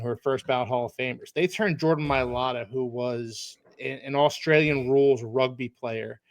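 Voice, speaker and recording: A man's voice: mid-range voice, dry recording. A man from Philadelphia hosting a casual podcast chat, enthusiastic and fond of grand, poetic phrasing.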